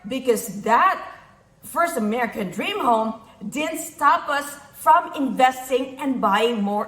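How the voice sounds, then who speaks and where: A woman's voice: high voice, fairly bright tone. A middle-aged woman with a Filipino accent giving a motivational talk in numbered points, stressing and repeating her key phrases. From Philippines. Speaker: motivational speaker, physician and investor. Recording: echoey room sound.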